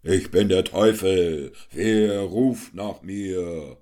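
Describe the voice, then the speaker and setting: A man's voice: deep voice, drawn-out words, mellow. A man voicing a boastful male devil who brags he can change his shape.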